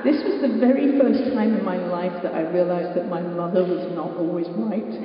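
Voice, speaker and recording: A woman's voice: lowish voice, moderate pace. An older woman from England telling a personal story at a live event, with dry, self-mocking humor. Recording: distant, echoey hall, muffled.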